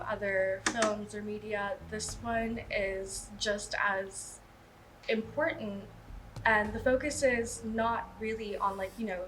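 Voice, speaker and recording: A woman's voice: medium pitch, a bit bright, poor audio. A woman giving casual answers as an interviewed cast member. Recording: faint background noise.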